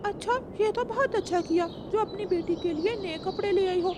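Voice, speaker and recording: A woman's voice: very high-pitched, moderate pace, noisy background. A woman voicing a friendly, curious parrot in a children's cartoon, chatting inquisitively. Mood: curious, approving.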